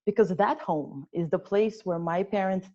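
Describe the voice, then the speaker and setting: A woman's voice: mid-range voice, moderate pace, a little mellow. A woman in her twenties giving a prepared personal speech at a club meeting, earnest with touches of dry humor. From born in the United States, grew up in Ukraine, Austria and Russia. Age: 20s. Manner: earnest, lightly humorous storytelling. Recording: dull lo-fi sound.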